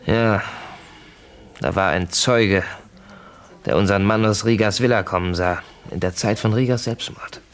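An actor playing an uneasy official in a radio crime drama, reporting in a measured, confidential way. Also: male voice, on the low side. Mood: worried.

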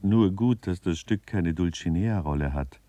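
Man acting a grumbly brooding director in a radio play, super low dark voice, muttering sardonic musings. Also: moderate pace, no echo, lightly acted. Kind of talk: radio drama interior monologue.

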